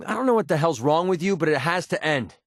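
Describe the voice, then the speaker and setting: An actor voicing a wry, prickly young mercenary sniper with a hidden soft side in a video game, sarcastic and conversational. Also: male voice, a little high, fast talker, no room sound, clean studio sound. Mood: angry, frustrated. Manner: sarcastic, conversational, emotionally expressive. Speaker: video game companion character. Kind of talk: naturalistic video game voice acting.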